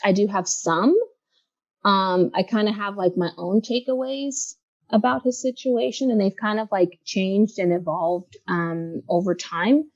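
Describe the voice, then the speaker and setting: A woman in her thirties, a guest from Los Angeles, speaking reflectively in a casual interview with many pauses and fillers. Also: medium pitch, fairly even intonation, no room sound, dull audio. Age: 30s.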